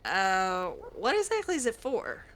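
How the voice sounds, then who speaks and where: A woman's voice: mid-range voice, very animated, unhurried, a bit bright. A woman role-playing her character in a video game, improvising her replies in casual, hesitant, conversational phrases. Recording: faint background noise.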